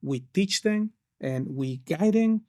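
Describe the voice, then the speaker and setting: A man's voice: high voice, expressive, slow, warm tone. A man from Peru, a counselor answering interview questions with many hesitations and restarts. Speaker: case manager counselor.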